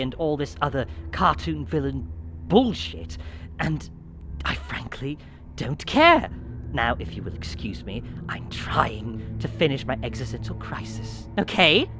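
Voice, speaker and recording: A man voicing a weary, irritable, sarcastic lost soul in a dark fantasy audio drama, blunt and exasperated. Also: on the high side, pitched above the performer's own voice, exaggerated voice.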